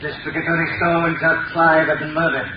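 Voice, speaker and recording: An actor playing a mysterious, mocking male vigilante in a 1930s radio drama, taunting slowly with a sinister laugh. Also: on the high side, fairly even intonation, a crowd in the background, lightly acted. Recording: muffled, noisy, echoey 1930s radio recording from a distance.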